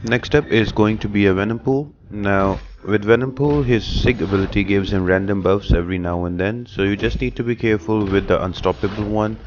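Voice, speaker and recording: A man's voice: on the low side, darkish tone, lo-fi sound, a little hiss or hum. A man hosting a gaming channel, giving explanatory step-by-step commentary for a video game guide. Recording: music behind the voice. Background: music.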